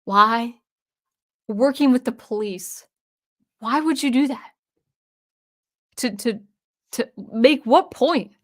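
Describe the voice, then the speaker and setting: A woman's voice: on the high side, slow pace, pauses often, a bit bright. A woman from the US Northwest hosting a casual livestream, reading viewer comments aloud and answering them in a chatty way with frequent restarts. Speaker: livestream host.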